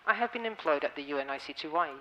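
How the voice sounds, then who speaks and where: A man narrating in a measured, formal reading style. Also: fairly high pitch, expressive, a bit bright, dull audio. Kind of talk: scripted art-film narration.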